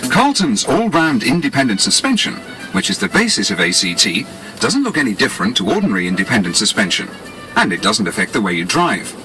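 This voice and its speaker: A man's voice: mid-range voice, huge pitch swings, fairly quick, sharp tone. A British man reading scripted 1980s promotional narration in a polished, explanatory salesman's manner, on a cassette tape. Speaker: advertising narrator. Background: music.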